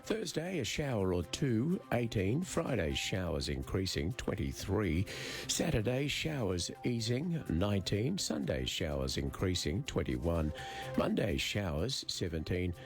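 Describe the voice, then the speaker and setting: A man's voice: lowish voice, big pitch swings, unhurried, creaky, faint music behind. An Australian man reading news and weather bulletins on the radio. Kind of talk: broadcast weather and news read.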